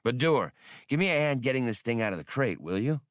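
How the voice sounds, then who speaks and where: A man voicing a brisk, wry space smuggler captain in an audiobook, casual and punchy. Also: mid-range voice, very animated, dry recording, clean studio sound, natural voice.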